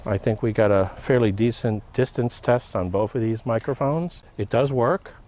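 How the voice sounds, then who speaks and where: A man's voice: medium pitch, normal speed, very noisy. A man giving a casual hands-on product demonstration outdoors, thinking aloud in short step-by-step remarks. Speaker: product reviewer. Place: outdoor backyard.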